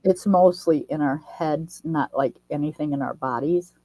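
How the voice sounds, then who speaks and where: A woman's voice: low voice, normal speed, warm tone. A woman hosting a casual online chat, sounding a little nasal from a head cold and telling things in a chatty, folksy way. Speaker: host.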